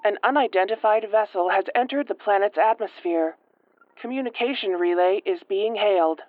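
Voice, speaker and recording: A woman's voice: mid-range voice, moderate pace. Phone-filtered female ship computer, acted by a woman. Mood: impassive. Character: impassive female ship computer announcing alerts. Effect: telephone-like intercom filter. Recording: thin phone-like filtered sound.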